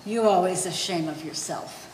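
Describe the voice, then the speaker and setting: A woman's voice: on the low side, normal speed, darkish tone, natural voice. Woman playing a blunt old mother, echoey hall. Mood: scornful. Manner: broken English, blunt, argumentative. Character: blunt, quarrelsome old immigrant Polish mother, scolding and shrewd. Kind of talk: live stage play acting. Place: art gallery stage reading. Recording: echoey hall, faint noise.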